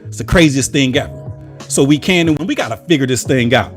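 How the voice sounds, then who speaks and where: A man's voice: mid-range voice, lively intonation, fairly quick, faint music behind. An American man hosting his own political commentary channel, delivering an impassioned monologue full of rhetorical repetition. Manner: preachy, with rhetorical repetition and emphatic lists.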